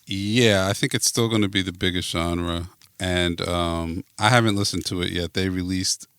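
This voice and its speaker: A man's voice: deep voice, steady tone, normal speed, fairly bright tone, clear, resonant tone, no echo, studio quality. A man being interviewed as a podcast guest, chatting casually and thinking aloud as he goes. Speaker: podcast guest, network founder.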